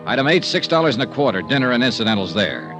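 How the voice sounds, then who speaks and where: An American man playing a dry, persistent insurance investigator in vintage radio noir style, with measured, matter-of-fact delivery. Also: medium pitch, big pitch swings, music in the background, lightly acted. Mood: brisk narration. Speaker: lead actor and narrator.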